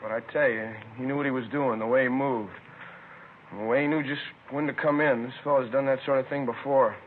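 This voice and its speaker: A faintly hissy, narrow-band 1950s radio drama recording. A man plays a chatty shopkeeper witness with a medium-pitched voice, talking in colloquial, broken phrases with an aggrieved, put-out air. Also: steady tone, brisk, natural voice. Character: chatty, put-out male liquor store manager, robbery victim.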